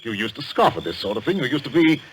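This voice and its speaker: An actor playing an easygoing but skeptical older solicitor in an old-time radio drama, assured and argumentative, on a noisy old recording. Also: male voice, mid-range voice, big pitch swings, fast, fairly bright tone. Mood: insistent.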